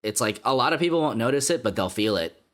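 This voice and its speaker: A man co-hosting a casual anime podcast who talks through his ideas in an analytical, considered way. His voice moves through a wide pitch range and sounds animated as he builds a point. His pitch sits in the middle for a man. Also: quick pace. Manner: thoughtful, analytical, with lively intonation. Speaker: podcast co-host.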